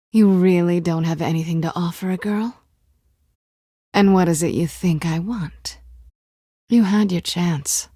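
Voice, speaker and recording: An American woman playing a sly, guarded cat-burglar in a video game, teasing and coolly wry, with a husky, breathy voice. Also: lowish voice, mellow, no echo, studio quality.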